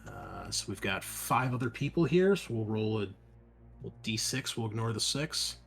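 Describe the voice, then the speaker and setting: A man's voice: lowish voice, lively intonation, moderate pace, vocal fry, fairly bright tone. A man working as game master, narrating a tabletop role-playing game in a conversational way and thinking aloud as he makes rulings. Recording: music playing under the voice. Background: game background music.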